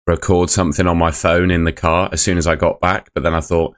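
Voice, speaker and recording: Croaky-voiced man with a very deep voice. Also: level tone, brisk. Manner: chatty, rambling, reads out chat messages. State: croaky voice from shouting. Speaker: fan channel host. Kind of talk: casual live football review.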